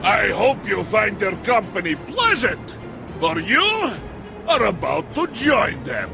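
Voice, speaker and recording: Grandiose, very slow villainous captain. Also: male voice, high-pitched, huge pitch swings, creaky, over music, heavily acted. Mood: menacing, booming. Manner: grandiose, declamatory. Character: proud, menacing guard captain, theatrical villain. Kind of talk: dramatic video game acting.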